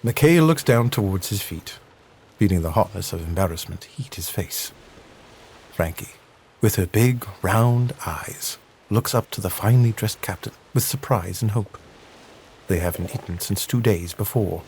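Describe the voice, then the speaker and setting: A man giving scripted dramatic narration for an audio adventure drama, with a husky voice. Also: on the low side, lively intonation, unhurried, darkish tone, a little hiss or hum, low-quality recording. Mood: measured.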